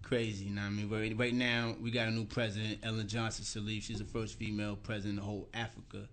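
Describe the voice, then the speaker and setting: A man's voice: fairly low pitch, little pitch movement, fast talker, fairly bright tone, slight background noise. A man from Liberia raised in Brooklyn, talking as a musician guest in a casual radio interview, explaining things in slangy, conversational terms. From born in Liberia, raised in Brooklyn.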